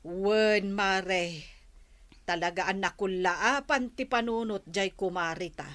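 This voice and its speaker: An actress with an Ilocano accent playing a blunt, gossipy village neighbour in a radio comedy drama. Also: female voice, mid-range voice, slow pace, fairly bright tone. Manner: chiding, blunt.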